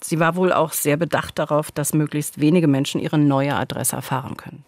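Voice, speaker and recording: A woman's voice: deep voice, steady tone, a little fast, a little mellow, no room sound. A woman in her forties co-hosting a true crime podcast, narrating cases in a conversational style. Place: small dark radio studio, indoors. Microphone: studio microphone on a boom arm, close.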